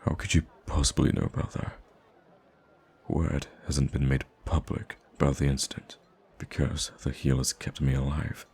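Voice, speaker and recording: A man playing a soft-spoken, wistful and gracious young prince in an ASMR roleplay, speaking gently and haltingly. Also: level tone, exaggerated voice. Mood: concerned, shaken. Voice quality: very deep, gravelly, husky. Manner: hesitant, gentle, halting with sighs and stammers.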